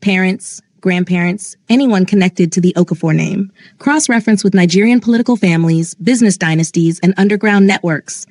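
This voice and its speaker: A woman's voice: fairly low pitch, a little mellow, no echo. A woman doing a controlled crime boss. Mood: commanding. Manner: measured, carefully controlled.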